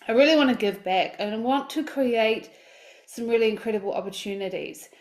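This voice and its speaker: A woman in her forties speaking in a slightly higher register, chatty and upbeat as she talks to her livestream viewers. She coaches people and rambles warmly through restarts and fillers. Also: brisk, rough recording. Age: 40s. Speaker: life coach. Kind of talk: casual livestream talk.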